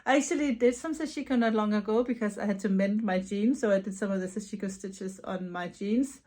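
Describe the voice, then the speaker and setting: A woman's voice: mid-range voice, fairly quick. Chatty Danish woman with lots of ums. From Denmark. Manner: chatty, repeats words, frequent soft laughs and ums. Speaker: knitting vlogger and yarn dyer. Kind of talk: casual Q&A vlog chat. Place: her home.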